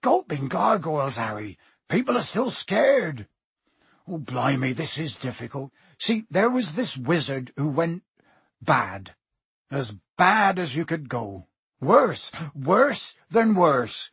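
A man voicing a gruff, warm-hearted giant in an audiobook, rough-voiced, with rustic, blustering and halting speech. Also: on the high side, sing-song, no room sound, heavily acted. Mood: hesitant, grave. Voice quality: husky, rough.

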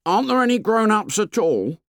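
An eager, anxious fat schoolboy with asthma, as a male reader puts him on, chattering in a high, lively voice full of slips in grammar. The reading is dry and close, with no room sound. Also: fairly quick, dry recording, full character voice. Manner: eager, working-class boy's grammar.

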